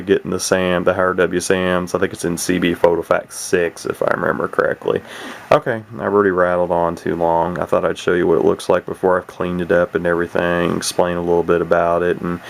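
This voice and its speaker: Male hobbyist presenter, low and creaky, informal and halting, rambling unscripted delivery. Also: a little hiss or hum. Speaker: radio hobbyist presenting a set. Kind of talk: casual unscripted demonstration talk.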